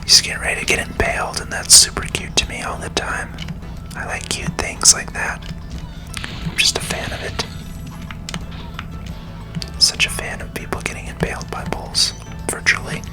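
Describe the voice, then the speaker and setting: An ASMR gaming host speaks entirely in a whisper, with clearly audible game music behind the voice. The delivery is gentle and unhurried, guiding the listener through play as it happens. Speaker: host playing and commenting on a video game. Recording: music under the voice.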